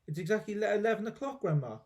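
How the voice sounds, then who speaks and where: Storybook boy, played by a man: young, eager, polite yet cheeky, lightly raised pitch. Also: animated, quick pace, darkish tone. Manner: polite, earnest. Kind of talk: children's storybook character voice.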